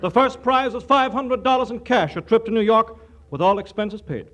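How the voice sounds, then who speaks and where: Casting: theatrical radio huckster, extremely high male voice, gushing, vintage comedy announcer. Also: expressive, normal speed, a crowd in the background. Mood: gushing, salesy. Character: showy male radio pitchman plugging a contest.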